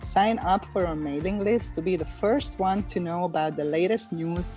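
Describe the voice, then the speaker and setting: A woman's voice: lowish voice, normal speed, over music. A woman hosting a podcast, speaking in an enthusiastic, conversational way. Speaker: podcast host. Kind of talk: casual podcast interview.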